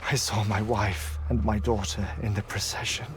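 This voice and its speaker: An actor playing a grieving, eccentric middle-aged scientist in a video game, speaking in a measured, scholarly way. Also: male voice, on the low side, flat delivery, background noise, close to an everyday voice. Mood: sorrowful. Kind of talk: dramatic video game cutscene acting. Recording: music behind the voice.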